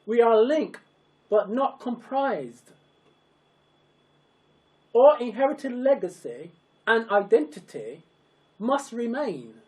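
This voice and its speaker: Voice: mid-range voice, sing-song, slow pace, pauses often, darkish tone, rough recording. A narrator delivering a scripted public address in solemn, emphatic, declamatory style, breaking sentences into short, weighted phrases.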